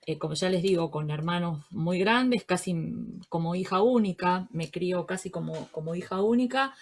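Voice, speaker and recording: A woman in her sixties from Buenos Aires with a Rioplatense accent, talking about her life in an interview, thoughtful and full of pauses and repetitions. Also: lowish voice. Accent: Rioplatense Spanish. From Buenos Aires, Argentina. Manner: warm, reflective, with frequent fillers and repetitions. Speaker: interviewee, social worker. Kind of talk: relaxed interview conversation.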